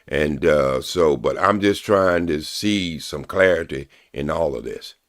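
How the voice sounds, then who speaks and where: A man's voice: very deep voice, unhurried, dry recording, clean studio sound. A man speaking on a live-stream panel call, earnest and long-winded as he makes his point. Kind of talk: casual live-stream debate.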